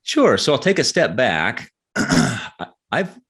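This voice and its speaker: A middle-aged man giving conversational interview answers as a guest author, telling his story haltingly and repeating words as he thinks. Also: medium pitch, sing-song, a bit bright, slightly rough. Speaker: guest novelist.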